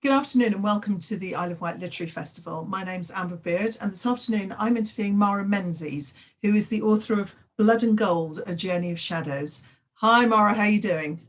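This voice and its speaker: A woman interviewing an author at a literary festival, asking questions politely and in a measured way. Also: mid-range voice.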